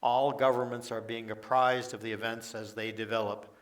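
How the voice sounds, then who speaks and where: A man playing a formal senior diplomat reading an official statement to the press in a radio play, deliberate in delivery. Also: mid-range voice, flat delivery, natural voice. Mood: formal, reassuring. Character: formal United Nations Secretary General addressing press. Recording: distant from the microphone.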